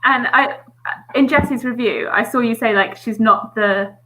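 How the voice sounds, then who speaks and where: Bright, gushing woman talking books. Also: a little high, normal speed, slight background noise, poor audio. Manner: enthusiastic, gushing. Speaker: guest panelist. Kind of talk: casual book discussion.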